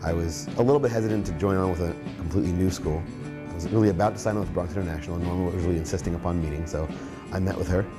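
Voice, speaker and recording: A young man in his twenties talks as a science teacher, warm and encouraging, with plenty of restarts and fillers. His voice sits low, and music plays clearly under him. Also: racing. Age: 20s. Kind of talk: interview and classroom talk.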